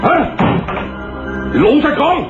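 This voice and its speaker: Actor as a blunt, commanding police colonel. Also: male voice, slow, close to an everyday voice. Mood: stern, demanding. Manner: forceful, demanding. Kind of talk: Cantonese radio drama acting. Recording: dull lo-fi radio recording, loud music behind.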